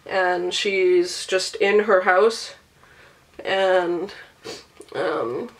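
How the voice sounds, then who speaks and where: A woman talking with a stuffy, nasal voice because she is sick. She rambles in a loose, chatty vlog style and swears casually as she goes. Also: medium pitch. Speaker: beauty and book vlogger.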